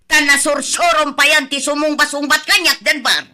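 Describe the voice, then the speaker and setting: Radio drama role: scornful, scolding Ilocano wife and mother, high-pitched, very forceful and sharp. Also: steady tone, moderate pace.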